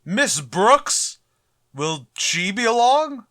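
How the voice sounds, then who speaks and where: A pompous middle-aged school principal, acted by a man in an old-time radio comedy style. His voice sits a little high in a dry recording. He speaks formally, with grumbling sarcasm and a vain streak. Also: big pitch swings, very slow, bright, forceful tone, dry recording, full character voice. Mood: disdainful, dreading. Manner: stuffy, formal, dryly sarcastic. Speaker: actor.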